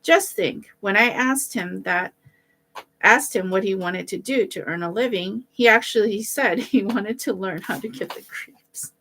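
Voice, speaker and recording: A woman's voice: mid-range voice, a little slow, a bit bright, slight background noise. A woman voicing an exasperated, stern father in a fairy tale, scolding as he talks.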